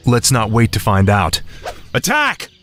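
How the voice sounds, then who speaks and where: A man's voice: on the low side, huge pitch swings, a little slow, a bit bright, faint music behind, exaggerated voice. A man playing a bold, headstrong young warrior prince in a fantasy video game, firm and commanding. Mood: resolute.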